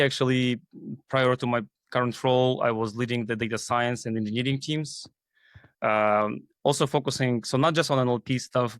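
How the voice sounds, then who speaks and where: A man from Kazakhstan talks as a guest in an interview. His mid-pitched voice is recorded dry, and he explains things in a halting, conversational way. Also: smooth, no echo. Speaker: guest, AI team leader. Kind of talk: interview talk.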